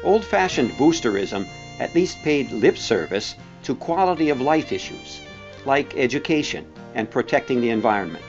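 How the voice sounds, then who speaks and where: A man narrating a scripted documentary in a measured, pointed way with deliberate emphasis. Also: mid-range voice, animated. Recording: dull, band-limited sound with music behind.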